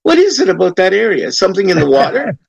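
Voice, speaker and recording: Chatty host with a lively, gravelly voice. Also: male voice, high voice, fast, dry recording, dull audio. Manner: chatty, animated, laughing asides. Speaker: interview host. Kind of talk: casual interview questions.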